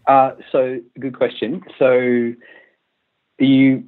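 A man in his thirties with an Australian accent, a country doctor answering interview questions in a hesitant, halting way with many fillers. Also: medium pitch, completely flat delivery. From Malaysia, raised in Western Australia. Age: 30s. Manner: hesitant, many restarts and fillers, laughs easily. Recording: tinny phone line.

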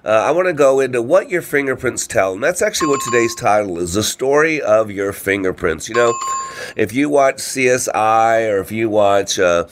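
A man's voice: lowish voice, expressive, normal speed, slight background noise. A man with a congested voice hosting a radio show, talking informally and motivationally with frequent rhetorical questions. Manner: asks rhetorical questions, frequent "you know" and "right?". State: congested with a cold or allergies. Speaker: radio show host and trainer.

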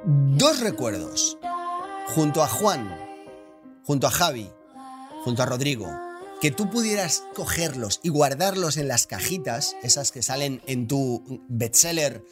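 Sarcastic guy with a lively voice, music audible behind. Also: medium pitch, unhurried. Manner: mock-insulting, sarcastic. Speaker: podcast co-host asking questions. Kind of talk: casual banter.

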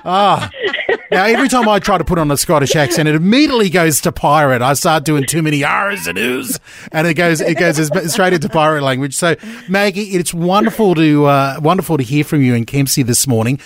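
A man's voice: on the high side, lively intonation, a little fast, a bit bright. A man hosting a breakfast radio show, chatting casually with lots of fillers. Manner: chatty, upbeat, many fillers. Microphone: studio microphone.

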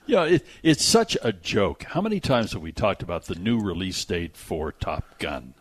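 A man's voice: low-pitched, sing-song, moderate pace, slightly rough. A man hosting a radio show, chatting casually about movie news in a jokey, exaggerating way. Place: radio studio. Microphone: close studio microphone.

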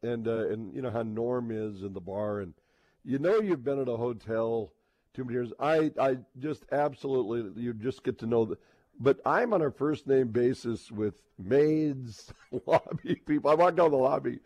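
A man's voice: medium pitch, normal speed, darkish tone. A man with an American accent hosting casual sports radio banter, rambling chattily and acting out little quoted exchanges.

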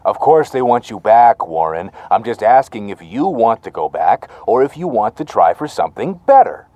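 A man's voice: fairly low pitch, very animated, normal speed, warm tone, dry recording. An actor playing a pushy, chummy male talent agent, glib and persuasive, in a naturalistic audio drama.

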